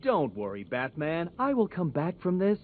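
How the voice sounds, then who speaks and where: Pompous, manic supervillain genius, thin audio. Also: male voice, fairly high pitch, wildly swooping pitch, normal speed, phone-quality sound, a little hiss or hum. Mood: bitter sneering. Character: arrogant, manic male supervillain genius, petulant and obsessive. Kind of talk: video game villain acting.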